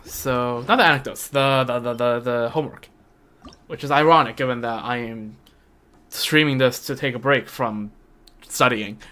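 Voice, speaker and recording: A man's voice: medium pitch, moderate pace, pushed and bright. A young man giving casual live stream commentary on a game, rambling and thinking aloud. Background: game music.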